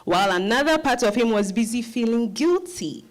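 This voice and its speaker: A woman's voice: on the high side, expressive, moderate pace. Narrator: woman, scripted literary storytelling.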